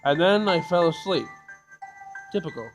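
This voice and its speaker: A man's voice: fairly high pitch, animated, moderate pace. A man hosting a casual gaming video, reading game text aloud informally and stumbling over words, with game music behind him.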